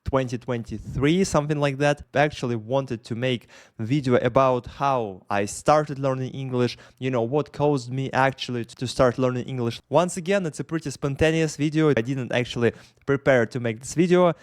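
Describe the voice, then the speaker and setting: A man with a Russian accent talks straight to his camera without a script, circling back with lots of fillers and restarts. His pitch sits in the middle for a man. The sound is dry and close. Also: normal speed, no room sound. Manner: rambling, many fillers and restarts. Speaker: YouTuber. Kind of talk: unscripted talk to camera. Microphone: camera in front of him.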